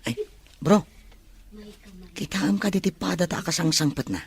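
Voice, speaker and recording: A man's voice: sits high, expressive, normal speed, gravelly, slight background noise. A man playing a young student from the provinces in an audio drama. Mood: chatty, friendly. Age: young adult. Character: young provincial male student new to the city. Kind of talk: acted audio drama dialogue.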